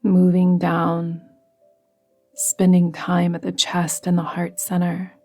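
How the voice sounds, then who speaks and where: Slow, hushed woman guiding meditation. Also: fairly low pitch, completely flat delivery. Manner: soft, soothing, gently instructing, breathy phrase endings. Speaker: yoga nidra guide. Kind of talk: guided meditation. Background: music.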